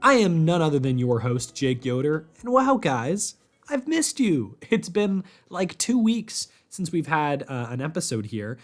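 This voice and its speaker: A man hosting a podcast in a casual, chatty, informal way with animated emphasis. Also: on the high side, unhurried, faint music behind. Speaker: podcast host.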